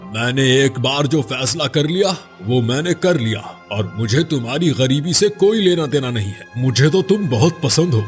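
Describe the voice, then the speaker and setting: A man's voice: medium pitch, lively intonation, moderate pace, few pauses, soft background music, close to an everyday voice. A man playing a domineering, possessive village chieftain in a cartoon story, speaking in a commanding, imperious way. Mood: defiant, commanding. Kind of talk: Hindi moral-story cartoon dialogue.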